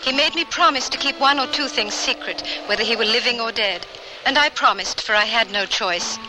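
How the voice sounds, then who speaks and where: A woman's voice: a little high, brisk, noisy background, close to an everyday voice. An actress playing an intrepid, proper Victorian lady traveler in a radio drama, speaking in formal literary phrases. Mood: wistful. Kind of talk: old-time radio drama acting and narration.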